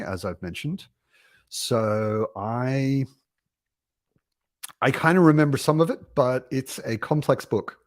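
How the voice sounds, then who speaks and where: A man, born in America and raised in Australia, hosting a casual home livestream about books, rambling and relaxed with many ums and restarts while he answers viewer comments. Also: mid-range voice, dry recording, studio quality. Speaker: book livestream host. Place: home, in Berlin.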